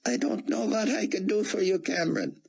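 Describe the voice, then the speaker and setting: A man voicing a kindly, cautious older male scientist who speaks gently and protectively. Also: sits high, normal speed, gravelly, husky, voice pushed up, full character voice. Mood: gently refusing.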